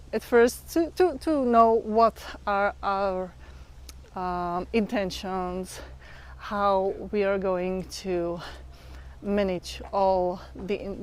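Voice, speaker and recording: Slow-spoken Czech woman, hesitant English. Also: mid-range voice, smooth. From Czech Republic. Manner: hesitant, searching for English words with many fillers. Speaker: livestream host. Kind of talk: casual livestream chat with viewers.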